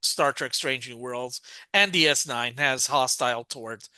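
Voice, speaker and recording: A man's voice: medium pitch, measured pace, sharp tone, no echo. A man co-hosting a podcast, recapping a story in a rambling, casual way with many restarts and fillers. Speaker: podcast co-host.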